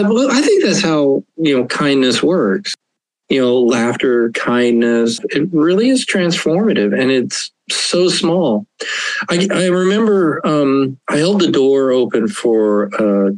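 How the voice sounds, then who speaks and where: A man's voice: mid-range voice, no room sound, poor audio. An older man, an author, talking earnestly and reflectively as an interview guest, often laughing. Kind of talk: casual interview talk.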